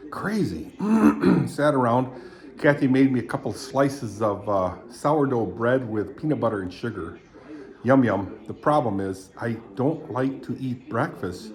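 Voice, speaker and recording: A man in his seventies, born in Indonesia, talking in a rambling, halting way, full of fillers and frequent throat clearing. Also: medium pitch, lively intonation, darkish tone. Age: 70s. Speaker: vlogger. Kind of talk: rambling casual monologue.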